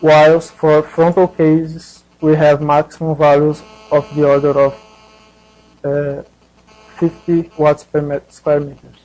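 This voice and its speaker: A man giving a scientific presentation in a hesitant, halting way. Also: a little high, little pitch movement, a little mellow, poor audio. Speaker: conference presenter.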